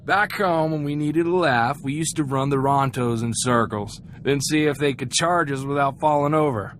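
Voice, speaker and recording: Actor as an earnest young space gunslinger, noisy background. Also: male voice, a little high, normal speed, a bit bright, natural voice. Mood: tipsy, nostalgic. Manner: folksy, earnest, chatty. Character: earnest, idealistic young freighter crewman and gunslinger. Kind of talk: video game voice acting. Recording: clear background ambient noise.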